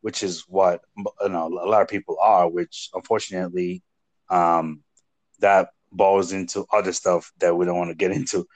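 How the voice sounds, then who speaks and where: Guy hosting a chat, lowish voice, lots of you knows, dry sound. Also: level tone, moderate pace, a little mellow, no echo. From Nigeria. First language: Igbo. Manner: hesitant, filler-heavy, encouraging. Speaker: podcast host. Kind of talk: casual interview chat.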